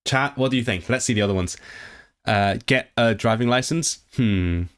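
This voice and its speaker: A man's voice: medium pitch, normal speed, clear, resonant tone. A man chatting live with his viewers, reading quiz questions aloud, translating them and often restarting his sentences. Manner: chatty and animated, reacting to the chat. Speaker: livestreamer. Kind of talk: casual livestream chat with viewers.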